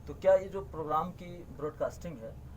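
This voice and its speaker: Male reporter, slightly high voice. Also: a little fast, slight background noise, rough recording. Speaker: news reporter. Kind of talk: news interview.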